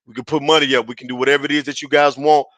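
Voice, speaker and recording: A man hosting a casual sports podcast, his voice raspy and hoarse from yelling, talking in a hyped, slangy way on a headset mic. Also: mid-range voice, level tone, very fast, no room sound. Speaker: podcast host.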